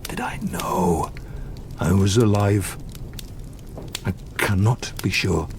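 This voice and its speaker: Slow, deep, gravelly brooding monster. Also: male voice, slight background noise. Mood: haunted, sorrowful. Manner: slow, deliberate, solemn storytelling. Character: wounded, brooding male monster, eloquent and lonely. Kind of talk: audio drama monologue.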